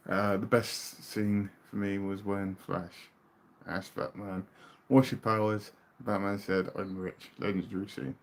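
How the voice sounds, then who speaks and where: British man, livestream host, easygoing chat from home, drifts quiet at line ends. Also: fairly low pitch, unhurried, few pauses. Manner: relaxed, chatty, trails off into quiet mumbles. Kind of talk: casual live chat reading viewer comments.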